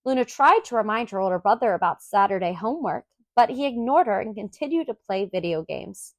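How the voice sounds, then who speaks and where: A woman reads a children's story aloud in an expressive, clearly enunciated storytelling manner. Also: medium pitch, fairly quick, no echo. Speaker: storybook reader.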